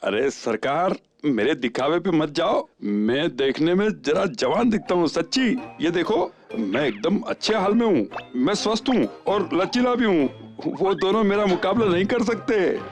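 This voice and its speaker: A man playing an eager, needy superhero hopeful in a dubbed cartoon, with an excitable, pleading way of talking. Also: high-pitched, a little slow, hardly any pauses, faint music behind. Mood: boastful, pleading. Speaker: cartoon voice actor. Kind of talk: animated cartoon dub.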